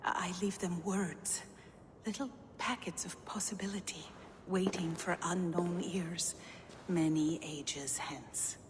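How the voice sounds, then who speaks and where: Slow, hushed, solemn seeress. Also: female voice, lowish voice, fairly even intonation. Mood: solemn, haunted. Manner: grave, halting, mysterious. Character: solemn, reclusive young seeress, daughter of a giant lord. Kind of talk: video game voice acting. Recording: long echoing reverb, faint noise.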